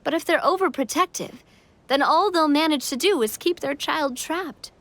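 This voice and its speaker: A woman playing a kind, composed young monarch in an English-dubbed fantasy game cutscene, speaking in an earnest, reassuring and measured way. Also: high voice, a bit bright, no echo. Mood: gentle, earnest.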